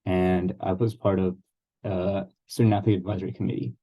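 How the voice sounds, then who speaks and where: A man's voice: low-pitched, level tone, fairly quick, dark tone, rough recording. A young man introducing himself on a student panel, hesitant with many "uh"s. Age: young adult.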